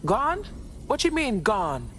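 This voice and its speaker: A woman's voice: on the high side, wildly swooping pitch, takes it slowly, fairly bright tone, a little hiss or hum, exaggerated voice. A woman playing a gritty resistance operative in a video game, informal and streetwise. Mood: shocked. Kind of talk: scripted game dialogue.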